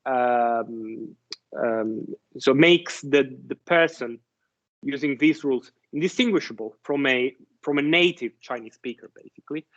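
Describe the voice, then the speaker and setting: A slow, careful man lectures with a voice a little higher than most, stopping often to restart his sentences and asking whether the class follows. The recording sounds dull and lo-fi. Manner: halting, many restarts and fillers, checks understanding with "right?". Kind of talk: university lecture.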